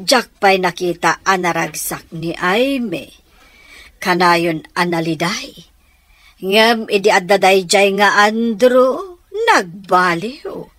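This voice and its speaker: A conniving middle-aged stepmother in an Ilocano radio drama. She speaks slowly and theatrically, with big swings of pitch in a dry recording. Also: a bit bright, dry recording. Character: scheming middle-aged mother, sly and manipulative stepmother.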